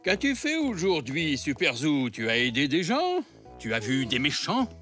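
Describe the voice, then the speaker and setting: A man's voice: on the high side, huge pitch swings, a little slow, music in the background. A man voicing a kindly older male zebra in a children's cartoon, theatrical and instructive. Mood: playful. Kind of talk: French children's cartoon dubbing.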